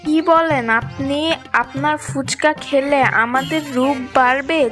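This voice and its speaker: A woman's voice: high voice, fairly even intonation, a little slow, over music. A woman voicing a vain, gullible female customer in a children's cartoon. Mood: eager. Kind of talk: children's cartoon character acting.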